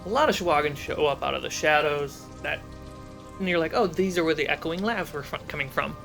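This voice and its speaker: Male game master, higher voice, conversational tabletop fantasy narration and rule calls, light music underneath. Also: fairly quick, creaky, a bit bright.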